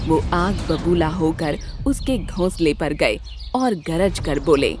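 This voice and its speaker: A woman narrating a scripted children's story as an expressive storyteller. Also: deep voice, slow pace, faint music behind.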